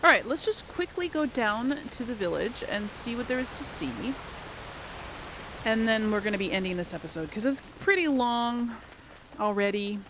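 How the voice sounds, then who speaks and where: A woman's voice: fairly high pitch, animated, moderate pace, a bit bright, slight background noise. A woman giving casual, rambling game commentary to viewers, thinking aloud as she plays. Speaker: gaming host.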